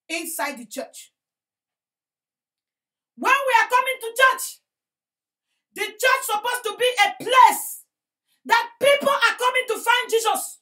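A woman preacher opening a sermon with emphatic delivery, swinging between loud declarations and quiet lines. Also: very high voice. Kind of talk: sermon introduction. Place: studio set.

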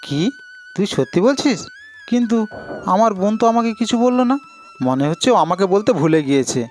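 A man acts out a humble village labourer for a cartoon tale, a devoted elder brother with a fairly high male voice. He speaks earnestly and deferentially, pleading gently, over soft music. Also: very animated, fairly quick. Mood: hopeful. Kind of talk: Bengali moral-story cartoon acting.

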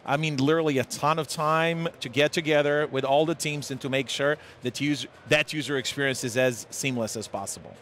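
A man in his forties from Serbia with a Serbian accent, speaking haltingly with many fillers as a guest in a live conference interview. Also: a little high, fairly bright tone, slight background noise. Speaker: tech executive guest. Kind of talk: live trade-show interview. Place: busy conference hall. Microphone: headset mic. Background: crowd chatter.

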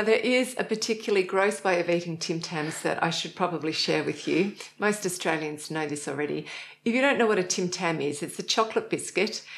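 A woman's voice: on the low side, creaky. A middle-aged woman hosting a knitting show from a living-room sofa, chatty and cheerful, laughing often. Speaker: host.

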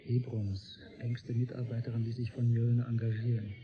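An actor playing a bookish, idealistic male historian in a radio play, with a measured, gently condescending lecturing manner. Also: medium pitch, level tone, lightly acted. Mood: explaining, amused. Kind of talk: German radio play dialogue. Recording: muffled, noisy old radio drama recording.

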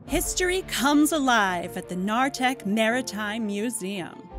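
A woman playing a grandiose, darkly sinister museum advert announcer, pompous and fond of dramatic pauses. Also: medium pitch, natural voice. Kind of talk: scripted parody museum advertisement voice-over. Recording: music bed under the voice.

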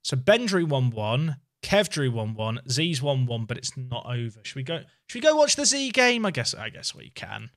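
A man's voice: mid-range voice, very animated, moderate pace, few pauses, fairly bright tone. A man in his thirties chatting casually and commentating as he livestreams a game from a small room at home. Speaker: game streamer.

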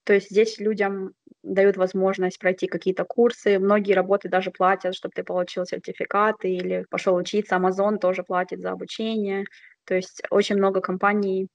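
A woman's voice: medium pitch, flat delivery, fairly quick, creaky, no echo. A woman in her thirties, an interview guest talking casually over a video call, laughing often and using many fillers. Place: indoors, close to camera. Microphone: webcam on a video call. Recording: dull lo-fi video call audio.

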